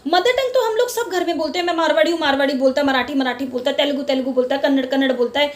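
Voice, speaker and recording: A middle-aged Indian woman with a high voice talks straight to her viewers in a casual vlog. She speaks with fervor, drives her points home by repeating words, and drops English terms into her Hindi. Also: very fast. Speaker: channel host. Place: lives in Mumbai.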